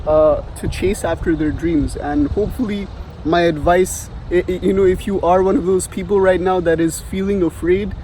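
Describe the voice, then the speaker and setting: Young man, indian accent, high voice, mellow tone, rambling vlog talk, faint street noise outdoors. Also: fairly even intonation, moderate pace, low-quality recording. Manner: rambling, many fillers and restarts, swears casually. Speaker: vlogger. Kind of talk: casual advice vlog.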